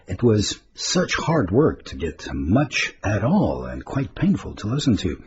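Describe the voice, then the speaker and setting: A man's voice: on the low side, huge pitch swings, unhurried. A man presenting radio listener mail in a chatty, hesitant, meandering way, adding asides as he reads. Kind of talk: informal listener-mail segment, reading and commenting. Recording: dull lo-fi radio recording, faint noise.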